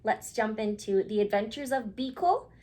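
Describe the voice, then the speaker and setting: A woman reading a picture book aloud for children, expressively and with dramatic pauses, speaking directly to her young listeners. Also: on the high side, warm tone. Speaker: children's story reader.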